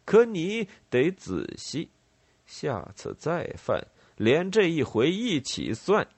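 A man's voice: mid-range voice, huge pitch swings, a little slow, breathy edge, no echo, full character voice. A man voicing a bluff, commanding rebel leader who poses as emperor in a story, with a swaggering, emphatic delivery. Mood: menacing.

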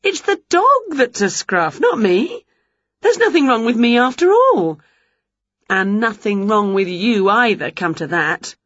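A woman reading a storybook aloud, voicing an excitable, scatterbrained female witch in a shrill, exclaiming voice. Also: measured pace, dry recording, highs cut off, exaggerated voice. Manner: shrill, exclaiming, squeaky high.

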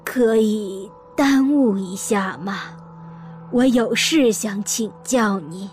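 An actress playing a composed, polite old lady who gently probes in an audio drama. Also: medium pitch, faint music behind, close to an everyday voice. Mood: gently probing. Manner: courteous, measured.

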